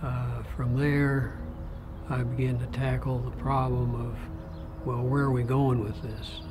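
Older man, even-toned, over music. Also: mid-range voice, a little slow. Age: 60s or 70s. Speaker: board member. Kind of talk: documentary interview, reflective recollection. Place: outdoors in a park, seated. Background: music, birds.

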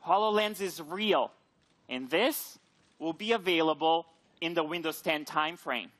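A man giving a keynote product presentation on stage, speaking in emphatic, deliberate short phrases. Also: a little high, fairly bright tone. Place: large auditorium stage with seated audience.

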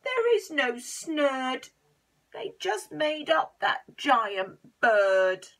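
A woman voicing a tall, lanky male thief who schemes in slow, drawn-out lines, read aloud from a children's storybook. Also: high-pitched, sing-song, fairly bright tone, voice pushed up, heavily acted. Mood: complaining.

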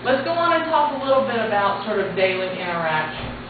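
A woman in her forties from the United States, speaking loud and frank as she leads a mission trip orientation to camera. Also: medium pitch, sing-song, brisk, distant mic. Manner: plain-spoken, frank, gesturing with her hands. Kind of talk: spoken orientation talk to camera. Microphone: camera mic at a distance. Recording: echoey, phone-like, noisy room sound.

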